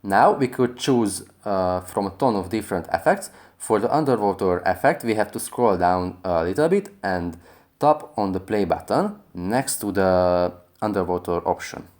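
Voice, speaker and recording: A man with a fairly low voice narrates a how-to tutorial as its host. He speaks in scripted, instructional sentences, moving step by step. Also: animated, moderate pace, a little mellow. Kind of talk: scripted app tutorial voice-over.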